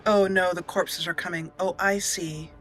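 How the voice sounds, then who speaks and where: A woman giving casual, excitable commentary while playing a video game, talking to the characters as she goes. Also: lowish voice, normal speed, soft background music. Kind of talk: casual let's play commentary.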